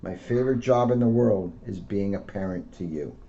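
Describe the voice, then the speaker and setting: A man's voice: on the low side, normal speed. A middle-aged American man, a school police officer, reading aloud and talking informally to schoolchildren. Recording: dull lo-fi audio with faint noise.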